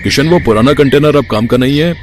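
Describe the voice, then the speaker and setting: An actor playing a kindly warehouse boss in a cartoon, familiar, conversational and authoritative. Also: male voice, mid-range voice, fast talker, noisy background, close to an everyday voice. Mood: matter-of-fact, kindly. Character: kindly warehouse boss, casual with his worker.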